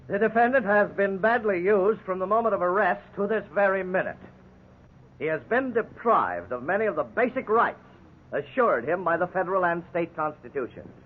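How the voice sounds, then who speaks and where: An indignant American defense attorney in a 1950s radio drama. His high voice is rough-edged and rises and falls animatedly in formal courtroom oratory, heard through a thin, phone-like old recording. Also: animated, normal speed.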